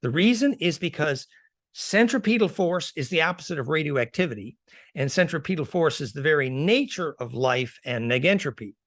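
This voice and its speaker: A man's voice: fairly high pitch, animated, normal speed, a bit bright, no room sound, studio quality. A man speaking as a podcast guest, lecturing emphatically in a rambling, speculative explanation. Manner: chuckles between phrases, emphatic, lecturing. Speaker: guest researcher.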